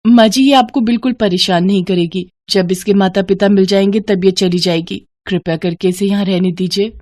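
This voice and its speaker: A woman voicing a kind, pious young daughter-in-law, gentle and earnest, in a moral story. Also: mid-range voice, fairly even intonation, moderate pace, lightly acted. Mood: pleading.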